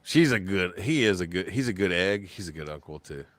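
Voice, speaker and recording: Rambling man, low and dry. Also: animated, a little fast, a bit bright, dry recording. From Alabama. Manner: rambling, joking, talks to chat by name. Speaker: podcast host. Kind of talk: casual live-stream chat.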